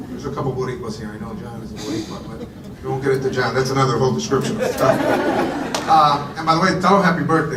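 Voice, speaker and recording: A man who grew up in Puerto Rico gives a conversational conference talk to a live audience, often repeating words and making chatty asides. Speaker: speaker. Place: conference room. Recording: roomy echo, voice from far off, faint rumble.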